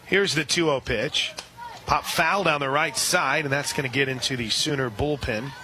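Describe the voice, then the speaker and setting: A man doing live radio play-by-play for a softball game, chatting between pitches and shouting on big plays. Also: normal speed. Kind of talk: live radio sports commentary.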